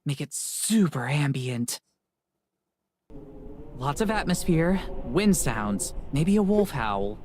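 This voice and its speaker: A woman voicing an eager young woman video maker in a visual novel, pitching ideas with enthusiasm. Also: sing-song, slow, long pauses, a little mellow, full character voice. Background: game music.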